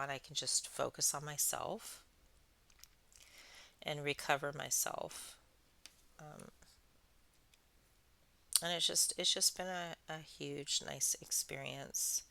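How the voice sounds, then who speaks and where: Gravelly low-voiced woman, soft and rambling. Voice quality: soothing voice. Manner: rambling, soft-spoken, reflective. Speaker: craft channel host. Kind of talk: casual chat while crafting.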